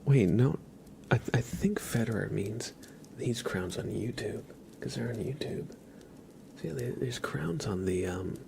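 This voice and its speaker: A man with a fairly low voice hosts an ASMR livestream, speaking softly and close to the mic. His delivery is very slow and often whispered, with a noticeable creak. He chats casually, thinking aloud as he goes. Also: slight background noise. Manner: soft-spoken, slipping between whisper and low murmur. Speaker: ASMR live streamer.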